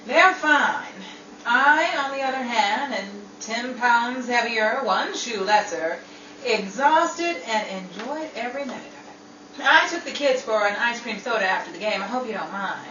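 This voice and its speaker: A breezy, wry pal from an old-time radio comedy voiced by a woman, bright and lively in delivery. The recording is roomy and slightly dull, with faint noise. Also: a little high, measured pace, distant mic. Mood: exasperated, good-humored. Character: wry, breezy family friend minding the children.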